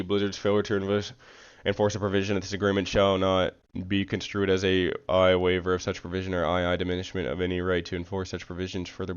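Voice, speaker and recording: Low, clear-voiced man, slightly muffled audio. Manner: stumbles over words and corrects himself; slangy casual speech with frequent "bro". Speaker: live streamer. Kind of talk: reading a legal document aloud on a livestream, with casual asides.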